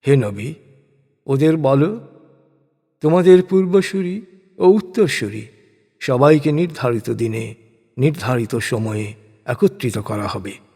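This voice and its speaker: A man reading a translated scripture as solemn scripted narration, in a measured, declamatory way. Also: mid-range voice, animated, creaky, dark tone, breathy edge. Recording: long hall-like reverb on the voice.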